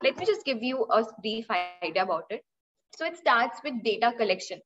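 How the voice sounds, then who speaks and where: A woman's voice: on the high side, lively intonation, a little fast, fairly bright tone. A young Indian woman with an Indian English accent, teaching an online class on data analytics, explaining with examples, asking her students questions, and often repeating or restarting phrases. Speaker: webinar instructor. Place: indoor room, online session. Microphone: laptop mic on a video call. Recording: dull lo-fi video-call audio.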